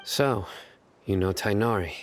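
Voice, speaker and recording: An actor voicing a stern, composed investigator in an anime video game, terse, measured and deadpan. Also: male voice, on the low side, a little hiss or hum, natural voice. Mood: guarded.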